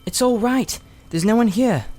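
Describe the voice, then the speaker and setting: A man's voice: sits high, moderate pace, darkish tone, voice pushed up, lightly acted. A man voicing a polite, prim young schoolgirl in a children's storybook, in a light voice. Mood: curious. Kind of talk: children's storybook character reading.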